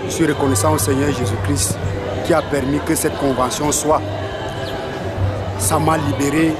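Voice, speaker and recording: A young man on a street, speaking gratefully and reflectively in a halting way. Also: a little high, steady tone. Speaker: church convention attendee. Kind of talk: street vox pop interview. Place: outdoors on a residential street. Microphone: handheld interview mic. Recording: loud crowd and music behind.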